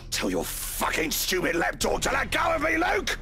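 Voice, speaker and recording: An actor playing an anguished, foul-mouthed drunk husband full of rage and despair in a visual novel game. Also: male voice, very high-pitched, wildly swooping pitch, normal speed, bright, forceful tone, soft background music, heavily acted. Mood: furious threats. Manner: explosive, anguished, swearing.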